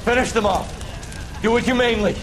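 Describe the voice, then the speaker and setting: An actor playing a panicked army officer in a video game drama, shouting orders frantically. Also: male voice, very high-pitched, brisk, low-quality recording. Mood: frantic, commanding. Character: panicked young army lieutenant barking orders.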